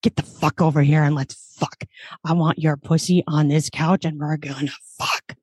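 A woman putting on a crude, lustful male voice, pitched down from her own. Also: steady tone, normal speed, darkish tone, slightly rough, no echo, studio quality. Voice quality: lowered put-on male voice.

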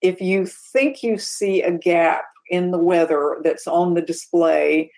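A woman's voice: on the low side, slow pace, darkish tone. A woman co-hosting an aviation talk show, explaining points in a casual but emphatic way. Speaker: podcast co-host.

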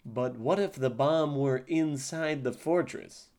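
A man's voice: medium pitch, darkish tone, close to an everyday voice. A man playing a boastful, pompous army captain in a comedy audio drama. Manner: pompous, boastful, slow and grandiose.

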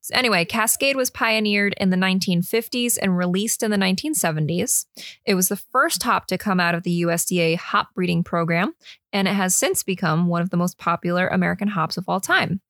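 A woman's voice: lowish voice, a little fast, a bit bright, dry recording, clean studio sound. A woman co-hosting a casual beer podcast, reading out her research notes with easy asides.

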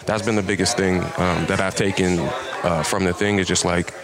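A man's voice: sits low, flat delivery, moderate pace, a crowd in the background. A man in his late thirties, a lawn care business owner, talking earnestly on a casual podcast. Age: 30s. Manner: earnest, reflective, repeats words for emphasis.

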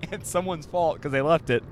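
Joking man podcasting from a car. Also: a little high. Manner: joking, rambling. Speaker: podcast host. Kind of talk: casual chat. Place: moving car. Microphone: handheld mic passed around. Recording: road noise from a moving car.

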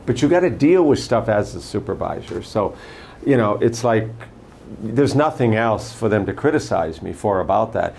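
An older man running for prosecutor, answering interview questions in a measured way and telling stories from his career. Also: lowish voice, lively intonation, gravelly, a little mellow, slight background noise. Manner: measured, anecdotal, lists names and past posts. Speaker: candidate for prosecutor.

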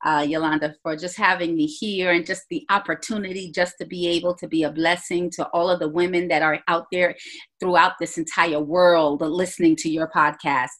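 An earnest woman from Jamaica speaks as a reverend guest on a podcast. She talks in a preacherly way, with some fillers and restarts. Her voice sits a little low. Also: fairly even intonation, normal speed, a bit bright. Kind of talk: conversational interview.

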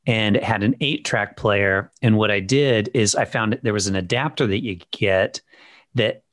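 A man's voice: lowish voice, a bit bright, dry recording, studio quality. A middle-aged man co-hosting a podcast, chatting and debating in a casual style. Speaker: podcast co-host.

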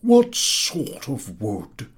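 A man's voice: medium pitch, huge pitch swings, breathy edge, voice pushed up, heavily acted. A man putting on a pompous old professor. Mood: coaxing. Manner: drawn-out, coaxing schoolmaster questioning. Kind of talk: audiobook character voice.

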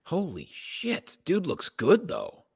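A man's voice: high-pitched, wildly swooping pitch, slow, vocal fry, breathy edge, no echo, studio quality. A man co-hosting a comedy podcast, bantering casually with wry, teasing remarks and some swearing. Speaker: podcast co-host.

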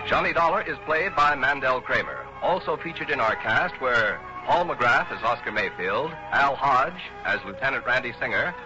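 A man's voice: mid-range voice, lively intonation, moderate pace, hardly any pauses. An American man announcing a 1960s radio show from a script, formal and polished. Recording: muffled old radio broadcast. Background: music.

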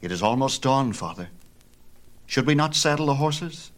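A man's voice: medium pitch, lively intonation, normal speed, pitched above the performer's own voice, close to an everyday voice. A man voicing a proud, rash young son of a god in a myth tale, earnest, formal and insistent. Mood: stubborn, insistent. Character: proud, rash young demigod son, respectful but stubborn. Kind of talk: dramatized myth storytelling.

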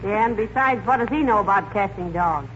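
An actress playing a sensible, polite housewife with a dry, plain-spoken manner in a 1940s radio comedy. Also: female voice, medium pitch, animated, a little fast, natural voice. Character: sensible housewife, polite to guests, dryly teasing her husband. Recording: dull, noisy 1940s radio recording.

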